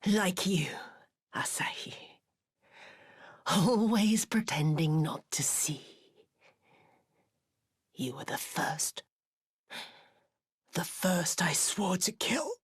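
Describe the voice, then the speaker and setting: An actress playing a bitter, coldly mocking, vengeful dying villainess in a video game cutscene, breathy and languid, savouring each phrase. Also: female voice, on the low side, very slow, pauses often, no room sound, studio quality, exaggerated voice. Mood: cold contempt. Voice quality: breathy, husky.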